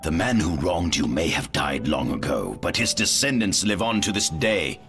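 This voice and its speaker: An actor playing a sly, coaxing old male scientist-official in a video game cutscene, with gravelly, calculated persuasion. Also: sits low, sing-song, moderate pace. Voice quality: gravelly, creaky. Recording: loud orchestral music behind.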